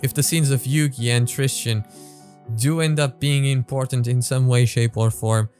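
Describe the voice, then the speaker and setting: Male reaction host, average pitch, casual and halting commentary with repeated words, light music underneath. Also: fairly even intonation, darkish tone.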